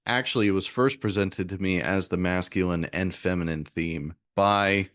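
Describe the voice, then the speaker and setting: Deep-voiced American man, rambling interviewer. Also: fairly even intonation, normal speed, a bit bright, no room sound, studio quality. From southern United States. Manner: rambling, hesitant, self-correcting; extroverted. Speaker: podcast host, music theorist. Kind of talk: conversational interviewing.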